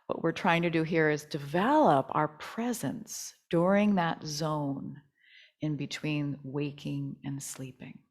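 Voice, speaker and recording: A woman teaching yoga nidra, leading a guided relaxation meditation in a soothing, gentle, unhurried manner. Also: deep voice.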